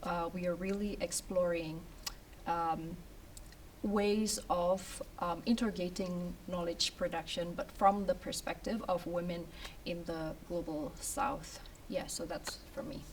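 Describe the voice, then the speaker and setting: Halting low-voiced woman on a panel. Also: steady tone, a little hiss or hum. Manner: measured, halting, many fillers. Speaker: academic and author. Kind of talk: panel talk. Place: event room. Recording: voice from a distant mic.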